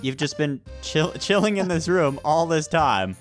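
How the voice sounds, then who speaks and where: A man reacting excitably and playfully as co-host of a gameplay video. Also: fairly high pitch, a little slow, faint music behind.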